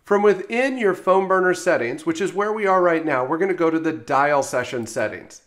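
Male software trainer, slightly higher register, explanatory step-by-step delivery for instructional screencast narration. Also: expressive, a little fast.

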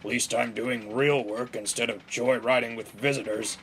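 A man's voice: mid-range voice, steady tone, moderate pace, noisy background, natural voice. A man voicing a grumpy, scornful male steamroller with a growling, sniffy manner in a children's story. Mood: sneering.

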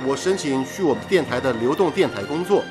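An actor playing a jovial, boyish young radio station worker in a radio drama, playfully teasing and fond of exaggeration. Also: male voice, mid-range voice, expressive, brisk, over music, natural voice. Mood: cheerful, earnest.

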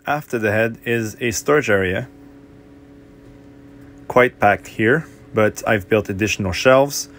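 A man's voice: fairly low pitch, measured pace, pauses often, fairly bright tone. A man narrating a walkthrough tour of his own boat, listing the equipment in a plain, matter-of-fact way. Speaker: boat owner giving a tour.

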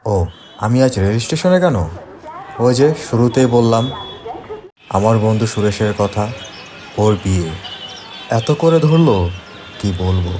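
A man narrating a scripted first-person horror story for an animated cartoon. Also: on the low side, takes it slowly, pauses often, music in the background.

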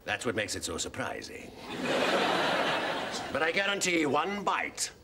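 A man's voice: fairly high pitch, sing-song, measured pace, very noisy. An actor playing a sly, courteous male vampire dinner guest with a put-on Romanian accent in a sitcom. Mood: sly courtesy. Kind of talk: sitcom acting. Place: indoor studio set, dining room.

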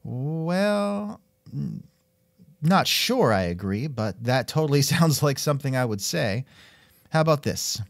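Animated, wry male interviewer. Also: medium pitch, slow pace, vocal fry, no room sound, clean studio sound. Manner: wry, musing, interjects with hmms. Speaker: podcast host and interviewer. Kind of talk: casual scripted interview chat.

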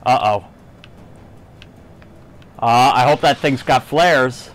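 A man giving casual, low-key gameplay commentary, musing to himself as he plays. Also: slow. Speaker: gamer doing a playthrough. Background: game music.

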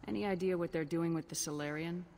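A woman's voice: on the low side, fast talker, a little mellow, a little hiss or hum, lightly acted. A woman playing a cool, authoritative human military commander in a video game, direct and dry. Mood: businesslike. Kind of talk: video game character dialogue.

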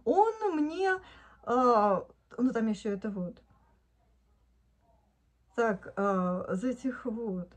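A woman's voice: on the high side, animated, very slow, pauses often. A woman in her fifties from Odesa, talking in a casual, indignant video diary at home into a phone camera, breaking into laughter. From Odesa, Ukraine. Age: 50s. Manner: chatty and indignant, bursts into laughter. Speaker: vlogger. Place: living room at home, indoors. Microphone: phone camera at arm's length.

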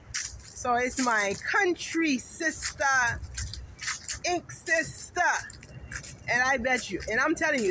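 A middle-aged woman from Haiti, vlogging casually in a chatty, rambling, emphatic way while walking through a park. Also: sits high. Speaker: vlogger and teacher. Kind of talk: casual talk to camera. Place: sunny city park outdoors. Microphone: phone held at arm's length. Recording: noisy outdoor phone recording.

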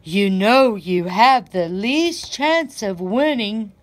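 Woman voicing a measured, wise storybook cow. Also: mid-range voice, expressive. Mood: resigned. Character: wise, kindly female farm cow in a storybook.

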